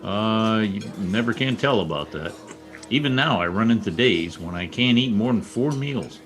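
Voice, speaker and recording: Man playing a bashful, folksy suitor, lively pitch. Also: soft background music. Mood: breezy, jovial. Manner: hesitant, halting, folksy. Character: bashful, folksy newspaper reporter courting his sweetheart. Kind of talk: old-time radio romantic comedy acting. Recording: video-call radio play recording.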